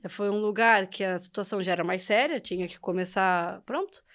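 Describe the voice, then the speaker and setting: A woman's voice: medium pitch, brisk, a bit bright. A young woman with a Brazilian accent telling her experiences casually and chattily as a podcast guest. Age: young adult. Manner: chatty, informal, often laughing. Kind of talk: casual conversational storytelling.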